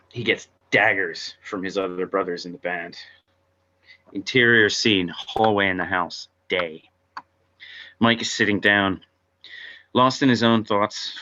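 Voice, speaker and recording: Bright-voiced man reading stage directions, lo-fi. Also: fairly low pitch, slight background noise. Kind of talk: scripted narration at a table read.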